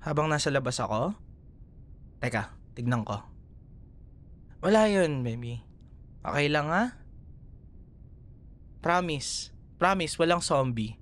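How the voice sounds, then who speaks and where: Man playing a young Filipino boyfriend, affectionate, playful teasing, animated pitch, unhurried, ASMR roleplay. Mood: concerned, reassuring. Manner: teasing, tender, chuckles often. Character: affectionate, playful young boyfriend comforting his scared partner. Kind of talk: ASMR roleplay, intimate one-sided dialogue.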